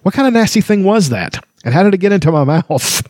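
A man's voice: high voice, sing-song, quick pace, darkish tone, no room sound, pitched above the performer's own voice. A man reading aloud and voicing a cheerful, nosy, childlike male kender who chatters with eager questions. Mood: eager curious.

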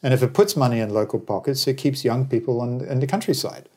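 A man's voice: medium pitch, lively intonation, fast talker, mellow. A man from New Zealand who later lived in Australia, talking as an interview guest in a discursive, opinionated way with wry anecdotes. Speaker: interview guest, travel business operator. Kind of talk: conversational interview commentary.

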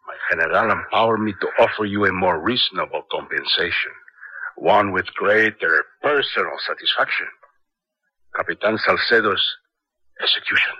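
An actor playing a scheming, cold-blooded colonel, raspy and commanding, in a 1970s radio drama. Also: male voice, low voice, moderate pace, no echo. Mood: smooth scheming. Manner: calculating, commanding. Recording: dull vintage radio recording.

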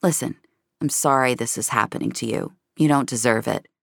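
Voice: mid-range voice, a little fast, vocal fry, no echo, studio quality, natural voice. A narrator voicing a careful, sympathetic woman on the run in an audio drama. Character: careful, sympathetic female fugitive dream-deleter.

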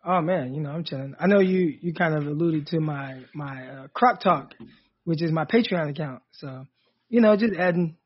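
A man co-hosting a casual sports podcast, with a raspy voice and a laid-back manner, leaning on "you know". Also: on the high side, normal speed, muffled recording. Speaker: podcast co-host.

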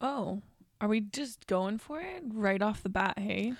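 A young woman co-hosting a podcast, her voice slightly hoarse and gravelly, chatting in a bubbly, self-deprecating way. The sound is close and dry. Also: medium pitch, animated, a little slow, no room sound. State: slightly raspy, partly lost voice. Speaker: podcast co-host. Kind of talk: casual chat.

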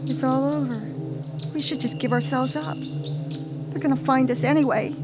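A woman's voice: high voice, fairly even intonation, moderate pace, over loud music, natural voice. An actress playing a frightened, skeptical woman on the run in an audio drama, blunt and doubting. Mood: despairing.

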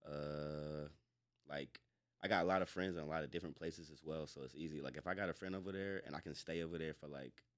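A man from North Carolina, a guest on a podcast, talking in a relaxed, slangy way with lots of "like". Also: a bass voice, fast talker. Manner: laid-back slangy, says "like" often. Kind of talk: casual chat.

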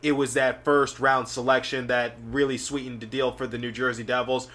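A man's voice: medium pitch, flat delivery, normal speed, fairly bright tone. A man with a sore, slightly scratchy throat, hosting a sports podcast in a rambling, chatty way with many fillers. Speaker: podcast host. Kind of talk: casual sports podcast talk.

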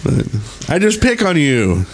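A man's voice: fairly high pitch, huge pitch swings, unhurried, creaky, slight background noise. A man co-hosting a podcast, chatting casually. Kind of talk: casual chat.

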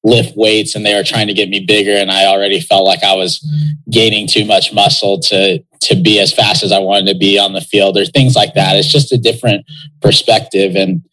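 Young guy from texas, kinda low voice, rambles thoughtfully with lots of likes and you knows. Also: level tone, moderate pace, sharp tone, rough recording. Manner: reflective, loose, many fillers. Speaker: guest, pro soccer player. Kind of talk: casual interview talk.

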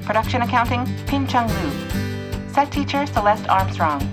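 A woman's voice: a little high, animated, normal speed. A woman reading scripted credits as the announcer of an audio fiction show. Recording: music behind the voice. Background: instrumental music.

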